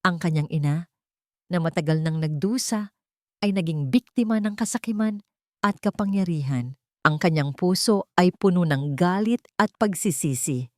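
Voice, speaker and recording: Low-voiced Filipina woman narrating a drama. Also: moderate pace, darkish tone, no echo, studio quality. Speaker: audio story narrator.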